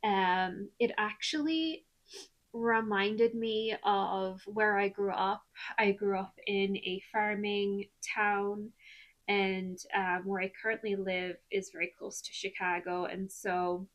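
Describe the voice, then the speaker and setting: Irish woman, 30s, unhurried chatty vlogger, loose and rambling delivery. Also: medium pitch, dull audio. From Ireland. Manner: relaxed, rambling, many ums and likes. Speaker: knitting vlogger. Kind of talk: chatty podcast-style vlog.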